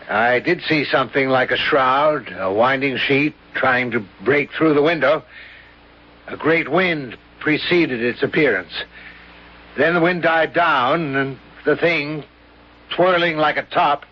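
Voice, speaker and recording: Formal, higher-voiced skeptical professor. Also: male voice. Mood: shaken, reluctant. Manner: measured, formal, hesitant. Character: prudent, skeptical male college professor, shaken. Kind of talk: radio drama acting. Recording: dull lo-fi radio recording.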